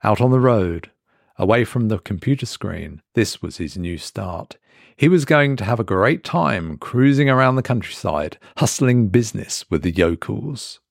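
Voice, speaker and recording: A man reading a scripted horror story as a dramatic narrator, measured and deliberate with pauses for effect. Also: lowish voice, expressive, vocal fry, no room sound, clean studio sound.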